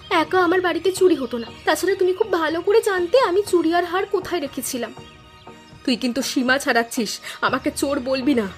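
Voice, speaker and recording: Quarrelsome cartoon village wife, very high voice, indignant scolding over music female actor. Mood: defensive, scolding. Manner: scolding, emphatic. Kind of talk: Bengali cartoon fairy-tale dubbing.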